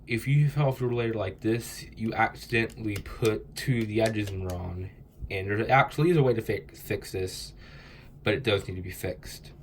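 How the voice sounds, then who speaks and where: A man's voice: medium pitch, a little hiss or hum. A young man with a stuffy, congested voice from a cold, casually explaining puzzle steps in a loose, rambling way. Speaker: cubing tutorial host.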